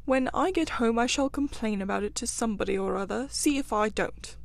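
A woman's voice: a little high, brisk, creaky. A woman voicing a grumpy, literal-minded male mole in a storybook, with a plaintive, pettish, stubbornly matter-of-fact manner. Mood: grumbling.

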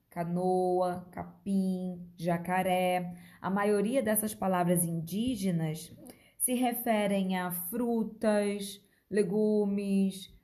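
A woman with a Brazilian Portuguese accent, narrating a scripted educational podcast as a language teacher, phrasing deliberately for learners. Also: mid-range voice, warm tone.

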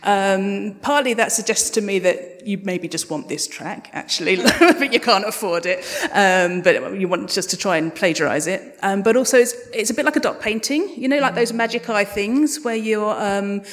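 A woman's voice: medium pitch, normal speed, hardly any pauses. A woman speaking conversationally as a guest sound designer on a live panel, answering audience questions. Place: live podcast festival stage in a hall. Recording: reverberant hall at a live event.

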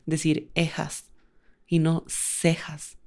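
A woman co-hosting a casual podcast with her husband, talking in an affectionate, teasing and animated way. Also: low-pitched, slow pace. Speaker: podcast co-host. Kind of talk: casual couple chat.

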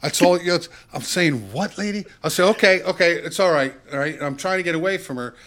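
A man's voice: on the high side, fast talker. A man chatting casually as a podcast guest, telling rambling anecdotes and acting out the dialogue as he goes. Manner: rambling, animated retelling with quoted dialogue.

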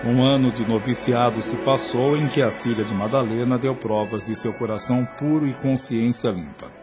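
A man narrating a radio drama in a measured, solemn way. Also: mid-range voice, music in the background.

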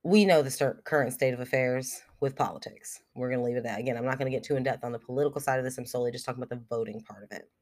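A young podcast host talks alone into the mic, blunt and unfiltered, with loose fillers and the odd swear word. A cold and a cough drop in the mouth thicken the voice a little. The pitch sits in a middle range. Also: very fast, few pauses. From United States. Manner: frank and blunt, swears freely, many fillers like "like" and "um". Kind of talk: casual solo podcast monologue.

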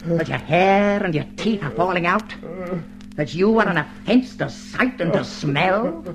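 An actor playing a cold, commanding party inquisitor and torturer in a radio drama, with theatrical, deliberate, menacing delivery. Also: male voice, sits high, expressive, faint music behind. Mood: cruel, relentless. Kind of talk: mid-century radio drama acting.

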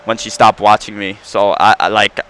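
Young man, Long Island accent, lowish smooth voice, casual rambling interview guest. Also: level tone, normal speed, a bit bright, low-quality recording. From Long Island, New York. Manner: rambling, many restarts and fillers. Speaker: hockey player guest.

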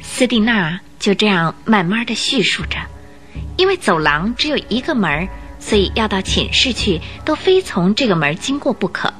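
A woman's voice: mid-range voice, sing-song, moderate pace, fairly bright tone. A woman narrator reading scripted storytelling narration for a radio drama. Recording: radio drama with music behind.

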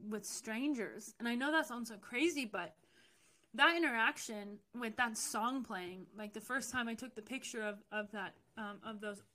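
A woman's voice: on the high side, bright, forceful tone. A young woman from the US telling personal stories in a chatty, candid way, with frequent fillers and self-corrections. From United States. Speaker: podcast host. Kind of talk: casual personal storytelling.

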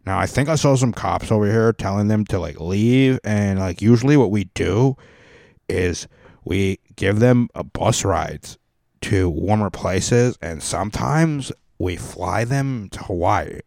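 A man's voice: on the low side, lively intonation, a little slow, gravelly, a little mellow, no echo, studio quality. A man voicing a rambling male caller with a Californian accent, meandering and full of fillers, in a comic podcast bit.

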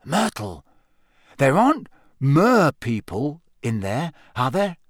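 A man's voice: mid-range voice, wildly swooping pitch, slow pace, no echo, clean studio sound, full character voice. A man voicing an earnest, puzzled schoolboy in an audiobook, questioning and slightly hesitant, sometimes impatient. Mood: curious, puzzled. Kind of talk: audiobook character voice.

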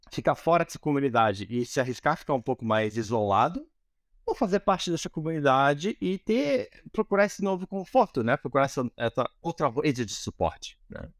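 A man's voice: on the high side, dry recording, clean studio sound. A man from Brazil with a Brazilian accent answers as an interview guest, explaining things conversationally and often checking in with small tag questions. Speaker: interview guest, product design manager.

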